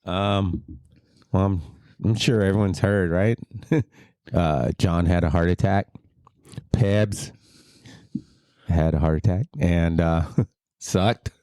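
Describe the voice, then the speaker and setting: A man in his fifties, a guest in casual chat over beers, laughing often and pausing with ums. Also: low voice, dark tone, clear, resonant tone, no echo, clean studio sound. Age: 50s. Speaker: trombone player, guest.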